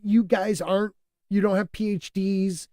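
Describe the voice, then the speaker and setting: A man hosting a casual podcast, stuffed up and losing his voice, talking in an animated, opinionated way and laughing often. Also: high voice, measured pace, no echo. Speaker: podcast host.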